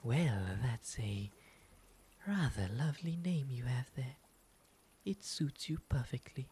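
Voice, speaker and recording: A man plays a courteous, soft-spoken owl demon gentleman in an intimate ASMR roleplay. The breathy, half-whispered voice sits at a medium pitch and moves slowly through polite, soothing phrases. A faint ambient noise runs behind it. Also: animated. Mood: courteous, friendly.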